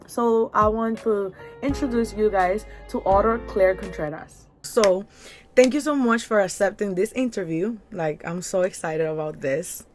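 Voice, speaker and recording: A woman hosting a book channel, interviewing an author in an eager, upbeat way. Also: medium pitch. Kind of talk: casual interview.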